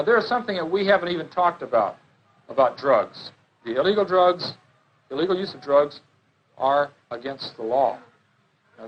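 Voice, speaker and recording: A man's voice: on the high side, dull audio, slight background noise. A man giving an informal classroom slide lecture as a police drug educator, on a 1970s educational film soundtrack. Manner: questioning, repeats and confirms answers.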